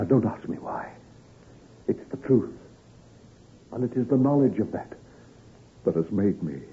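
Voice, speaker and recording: Man playing haunted doctor in an old radio play, deep voice, halting n melodramatic, muffled narrow sound. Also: close to an everyday voice. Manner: grave, halting, melodramatic delivery. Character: anguished, prematurely aged doctor, not yet thirty, secretive, self-reproaching. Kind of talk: old-time radio drama acting.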